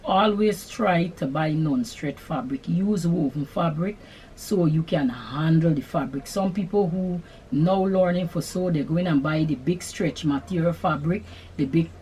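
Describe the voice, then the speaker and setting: A middle-aged woman with a Guyanese accent, hosting a sewing channel and answering viewer questions in an unhurried, chatty way. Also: lowish voice, a little mellow, slight background noise, poor audio. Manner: unhurried, chatty, Creole-tinged phrasing. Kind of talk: casual Q&A chat to camera.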